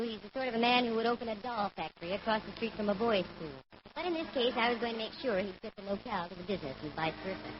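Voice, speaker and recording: A woman's voice: medium pitch, natural voice. An American actress playing a wry, self-assured female private detective in 1940s radio style, breezy and teasing. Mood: wry narration. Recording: dull, noisy vintage radio recording.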